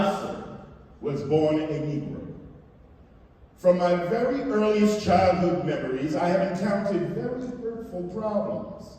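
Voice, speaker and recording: A dignified male writer played by a stage actor testifies slowly in a big reverberant theater. His delivery is earnest, wounded and formally polite, with emphatic rises and falls like an orator's. The voice is mellow and distant. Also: sits high. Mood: earnest, deliberate. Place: library district theater stage. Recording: echoey theater, distant mic, faint noise.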